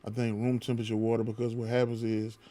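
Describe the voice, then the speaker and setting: A man's voice: mid-range voice, completely flat delivery, quick pace, dark tone. A man hosting a podcast, asking questions and talking in an easygoing, conversational way. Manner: easygoing, conversational, drawls casual phrases. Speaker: podcast host. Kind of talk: casual interview chat.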